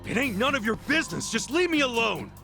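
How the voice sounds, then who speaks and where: An actor playing a brash, stubborn ex-con gangster with a gruff, curt manner. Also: male voice, extremely high pitch, very animated, quick pace, music in the background, exaggerated voice. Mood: irritated. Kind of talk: dubbed game cutscene acting.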